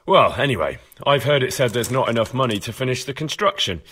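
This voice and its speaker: A man's voice: mid-range voice, lively intonation, fairly quick, fairly bright tone, slightly rough. A man playing an earnest, persuasive young medieval investigator in a video game, polite, probing and firm. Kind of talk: video game cutscene acting.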